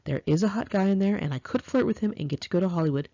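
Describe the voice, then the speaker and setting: An American woman reading her own memoir aloud in an expressive, confiding, conversational storytelling style, with girlish slang. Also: lowish voice, racing, no room sound, muffled recording. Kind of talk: first-person audiobook narration, conversational and dramatic.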